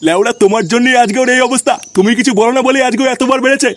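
A man's voice: very high voice, racing, fairly bright tone. A man voicing a hot-tempered, foul-mouthed ageing father in a comedy cartoon. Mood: angry scolding. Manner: scolding, profane. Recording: clearly noisy track.

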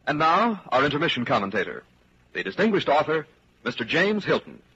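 A man's voice: on the high side, big pitch swings, fairly quick, pushed and bright, husky, lo-fi sound. A man working as a radio announcer, presenting formally in 1940s broadcast style on a vintage recording.

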